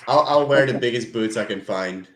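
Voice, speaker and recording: A man from the Netherlands speaking as a panelist in a relaxed, casual chat over a video call. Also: mid-range voice, moderate pace, reverberant, a little hiss or hum. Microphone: headphones on a video call.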